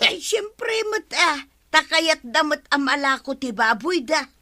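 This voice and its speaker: A woman's voice: high voice, sing-song, a little slow, pushed and bright, full character voice. A woman with an Ilocano accent playing a nosy, advice-giving village woman in a radio comedy drama. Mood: animated. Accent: Ilocano, Philippines.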